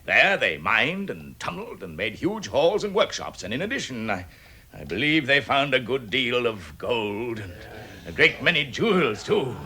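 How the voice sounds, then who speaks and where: A proud, forceful dwarf leader. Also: male voice, mid-range voice, very animated, moderate pace. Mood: grave, dramatic. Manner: grand, declamatory, storytelling. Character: proud, grave dwarf leader telling his lost history. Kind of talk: vintage radio drama acting. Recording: vintage 1960s radio recording.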